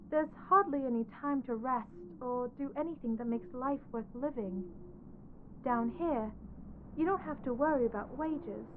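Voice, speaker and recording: A woman voicing a lovestruck, clingy undead corpse bride in an ASMR audio roleplay, soft, earnest and pleading. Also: high-pitched, phone-quality sound, slight background noise. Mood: coaxing, tender.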